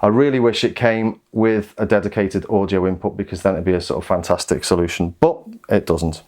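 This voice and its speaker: Low-pitched British man, chatty gear reviewer. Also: fairly even intonation, a little fast, a little mellow. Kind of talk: casual product overview.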